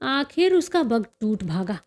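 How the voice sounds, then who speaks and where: A cheerful teenage dandy played by a woman narrator brags in a storybook tale. The voice is high and slow-paced, full of proud, excitable detail about bird fights. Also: heavily acted. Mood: excited, proud.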